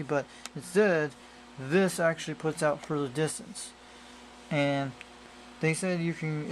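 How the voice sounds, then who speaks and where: A man with a slightly high voice talks through a hands-on gadget demo. He explains casually and rambles, often circling back over the same point. It is an amateur camera recording with faint noise behind him. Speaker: radio hobbyist presenter. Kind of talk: casual hands-on product demonstration. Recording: noisy amateur camera audio.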